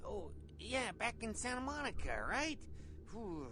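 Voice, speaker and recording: An actor playing a dim, rambling night security guard who talks eagerly and chuckles at himself. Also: male voice, sits high, very animated, a little slow, background noise. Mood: friendly. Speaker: front desk security guard.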